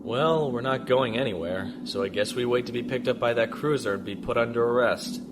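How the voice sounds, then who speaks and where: An actor playing a steady, dry-humored male spaceship captain in an audio drama, matter-of-fact in manner. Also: mid-range voice, fairly quick, background noise, close to an everyday voice. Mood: concerned, practical. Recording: loud music bed behind voice.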